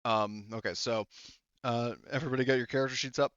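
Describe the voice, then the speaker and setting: A man's voice: mid-range voice, steady tone, quick pace, fairly bright tone, smooth, dry recording, lo-fi sound. A man running a tabletop game session, talking in a loose, rambling way full of fillers. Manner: rambling, filler-heavy, laid-back. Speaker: game master.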